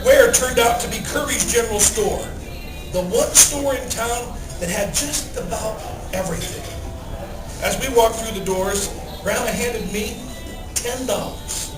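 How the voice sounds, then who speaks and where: A man telling a Christmas story as Santa in a dramatic read-aloud style. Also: sits high. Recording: roomy, distant mic, music underneath. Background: music.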